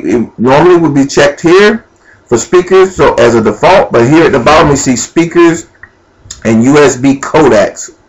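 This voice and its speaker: A man giving an informal, unscripted step-by-step software walkthrough, thinking aloud as he goes. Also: medium pitch, rough recording. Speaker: amateur tutorial presenter. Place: indoors at a computer.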